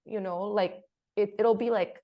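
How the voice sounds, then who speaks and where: A woman's voice: mid-range voice, fairly even intonation, a little slow, lo-fi sound. Woman reflecting aloud in a panel chat. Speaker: panelist, theatre artist. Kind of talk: casual panel discussion.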